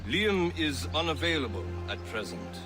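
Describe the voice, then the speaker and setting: An actor playing a stern, formal mentor and leader of a secret order, grave in manner, in a game cutscene. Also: male voice, fairly high pitch, very animated, moderate pace. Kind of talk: video game cutscene acting. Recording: cinematic music behind.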